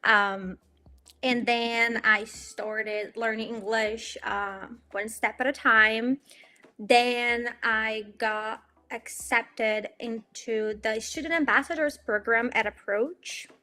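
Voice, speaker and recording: A woman's voice: on the high side, steady tone, takes it slowly, sharp tone. A woman in her twenties from Brazil with a Brazilian Portuguese accent, a student guest telling her story cheerfully in a casual interview, with many ums. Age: 20s. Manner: cheerful storyteller with frequent ums and light laughs.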